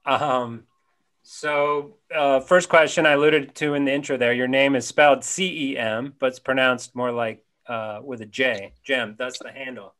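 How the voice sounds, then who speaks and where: A man in his forties hosts a casual interview from his home study, speaking over a webcam call. He is friendly and upbeat, and he throws in quick quips. His voice sits at a middling pitch. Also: fairly even intonation, fairly bright tone. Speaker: podcast host. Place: home study with bookshelves, indoors. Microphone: webcam on a video call.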